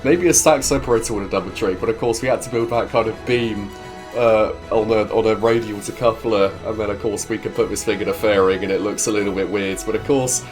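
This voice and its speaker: A man's voice: lowish voice, steady tone, fairly quick, a little mellow, soft background music. A man with a cold, hosting a video game series in a cheerful, chatty, rambling way while he builds and narrates. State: congested from a cold. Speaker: gaming video host. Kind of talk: casual gameplay commentary.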